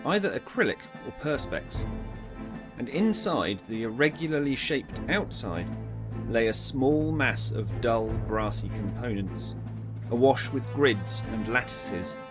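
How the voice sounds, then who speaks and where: Animated male audiobook narrator. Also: mid-range voice, unhurried, music in the background. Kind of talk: dramatised storybook narration.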